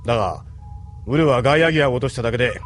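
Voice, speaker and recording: An actor playing a stern, weary, responsible male ship captain in an anime audio drama, gruff and authoritative. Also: medium pitch, rapid-fire, faint music behind, close to an everyday voice. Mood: grave, pensive.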